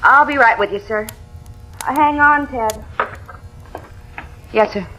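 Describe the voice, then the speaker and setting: A woman's voice: on the high side, takes it slowly, bright, forceful tone, slight background noise, low-quality recording. An actress playing a wry, tired, plucky young working woman in an old radio drama, with plain-spoken delivery. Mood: brisk, casual. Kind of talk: 1940s-50s radio drama acting, casual banter. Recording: vintage radio drama, faint music.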